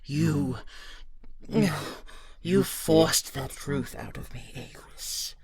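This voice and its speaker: A woman playing a proud, wounded, centuries-old dragon queen in a fantasy audio roleplay, speaking intensely and haltingly in a husky, breathy voice. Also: sits low, darkish tone, full character voice. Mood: bitter. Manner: intense, halting, often dropping to breathy whispers.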